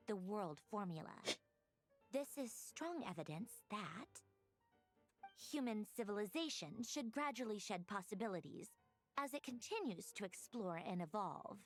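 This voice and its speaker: A woman's voice: medium pitch, close to an everyday voice. A woman voicing a studious, analytical young scholar in a video game, precise and bookish as she thinks aloud. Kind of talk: animated video game voice acting.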